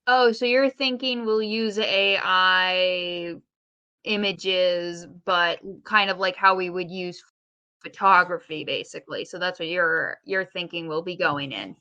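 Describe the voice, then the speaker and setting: A woman's voice: on the low side, unhurried, pushed and bright, clean tone, no room sound, lo-fi sound. A woman co-hosting a casual podcast discussion, questioning and thinking aloud in a tentative way. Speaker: podcast co-host.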